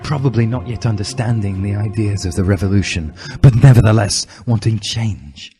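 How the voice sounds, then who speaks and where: A man's voice: fairly low pitch, normal speed. Theatrically emphatic man narrating over music. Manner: mock-serious, theatrically emphatic. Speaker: reader. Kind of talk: dramatic reading of comic fan fiction. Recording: music behind the voice.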